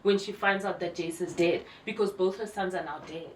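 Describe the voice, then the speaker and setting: A woman co-hosting a casual TV chat show, talking in animated slang and repeating words for emphasis. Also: fairly low pitch, brisk. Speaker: podcast co-host. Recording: roomy echo, distant mic, faint noise.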